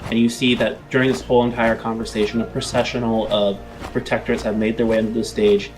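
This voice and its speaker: A man's voice: on the low side, level tone, fast talker, low-quality recording. A man narrating a tabletop roleplaying game as game master, improvising scene descriptions with music underneath.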